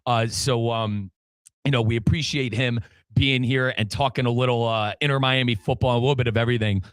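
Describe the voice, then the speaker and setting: A man's voice: mid-range voice, steady tone, a little fast, fairly bright tone, clear, resonant tone, no room sound. A man hosting a casual sports talk show in a chummy, slangy manner. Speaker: sports radio host.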